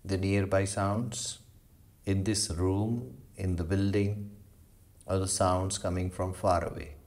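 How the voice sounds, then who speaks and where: A man leading a guided meditation as a yoga instructor, giving slow, measured instructions with long pauses. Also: low-pitched, steady tone, darkish tone.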